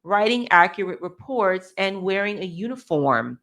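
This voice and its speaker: A woman's voice: mid-range voice, lively intonation, normal speed, fairly bright tone. An American woman reading a news article aloud as a co-host, with casual asides and the occasional stumble over words.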